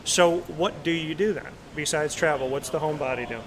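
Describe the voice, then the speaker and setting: A man hosting a casual live talk show, telling animated stories and asking a guest questions. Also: fairly high pitch, a little fast, heavy background noise. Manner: chatty storyteller, animated asides. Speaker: host. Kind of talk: casual interview chat. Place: busy restaurant. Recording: loud crowd chatter behind.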